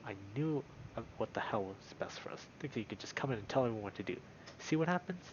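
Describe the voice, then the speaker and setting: A man's voice: mid-range voice, expressive, fast, highs cut off, background noise, close to an everyday voice. A man voicing an aggressive, paranoid wolf in a visual novel, growly and agitated. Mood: seething. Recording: music behind the voice.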